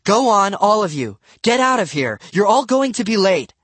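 A man voicing a harried, exasperated mother in a children's audiobook, loud and scolding. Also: high voice, big pitch swings, normal speed, husky, no echo, voice pushed up, full character voice.

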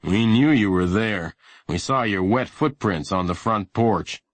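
A big, sagging policeman, voiced by a man reading a story, talks low with a folksy drawl. He is steady and reassuring, and the sound is husky and close. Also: unhurried, no room sound.

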